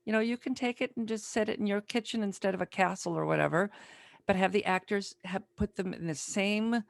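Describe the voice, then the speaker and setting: A woman host chatting casually and giving practical advice in a halting, conversational way. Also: medium pitch, gravelly, dry recording. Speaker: interview host, writer.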